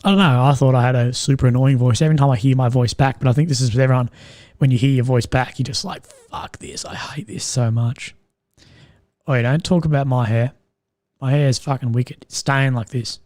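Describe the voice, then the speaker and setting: A young man with a strained, slightly croaky voice, rambling and swearing as he chats with viewers on a live stream. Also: medium pitch, steady tone, brisk, a little mellow, no echo, clean studio sound. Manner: rambling, swears often, laughs at own jokes. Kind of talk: casual live stream chat.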